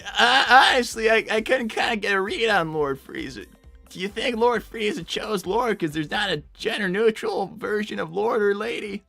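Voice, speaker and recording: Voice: mid-range voice, normal speed, creaky, sharp tone, faint music behind. A performer voicing a flustered, defensive young male fox in improvised live roleplay, stammering and protesting in a whiny way. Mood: defensive, subdued. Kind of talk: live improvised character roleplay, reading donor messages.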